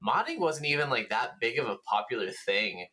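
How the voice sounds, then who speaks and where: A man's voice: mid-range voice, big pitch swings, fairly quick, bright, forceful tone. A man co-hosting a gaming podcast, talking in a casual, rambling, enthusiastic way with the odd swear word. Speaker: podcast co-host.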